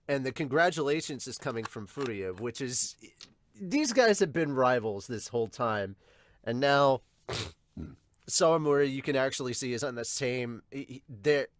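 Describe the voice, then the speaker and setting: A man hosting a casual manga reaction, talking enthusiastically in a halting, digressive way. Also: mid-range voice, expressive, dry recording, studio quality. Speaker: podcast host.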